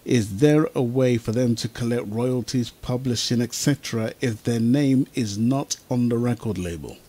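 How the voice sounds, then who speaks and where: A man's voice: mid-range voice, normal speed, mellow. A man presenting an informal radio talk show as its host, speaking to listeners.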